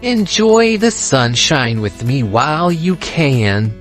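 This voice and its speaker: A woman's voice: low voice, big pitch swings, takes it slowly, soft background music, voice pushed down, exaggerated voice. A woman voicing a carefree, drowsy male grasshopper in a children's storybook, with a languid, easygoing drawl. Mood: lazy, coaxing. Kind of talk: animated children's storybook read-aloud.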